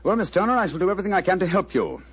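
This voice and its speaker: An actor with a British accent playing an urbane, unflappable gentleman detective, suave and dryly ironic, in an old-time radio drama. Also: high-pitched, big pitch swings, rapid-fire, breathy edge, full character voice. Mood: brisk, inquiring. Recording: dull lo-fi vintage radio recording.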